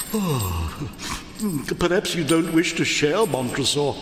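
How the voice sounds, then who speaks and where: A man's voice: a little high, heavily acted. An actor playing a boastful, tipsy, proud nobleman and wine connoisseur in a theatrical live radio play, pompous and hemming as he talks. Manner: pompous, haughty, with hemming and laughter. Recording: echoey hall, live stage recording, faint noise.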